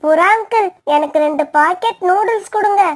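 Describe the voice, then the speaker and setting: A woman's voice: very high voice, brisk. A woman voicing a whiny, pleading young girl sparrow in a children's cartoon. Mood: eager.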